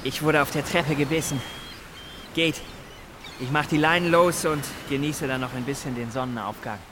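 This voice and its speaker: A man playing a weary, resigned, self-sacrificing survivor, quiet and understated, in a German radio drama. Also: on the high side, fairly even intonation, moderate pace, background noise, lightly acted.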